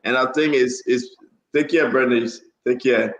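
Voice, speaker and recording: A man's voice: mid-range voice, fairly even intonation, a little slow, lo-fi sound, slight background noise. A man hosting a casual live talk show, often repeating phrases for emphasis. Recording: roomy, echoing sound.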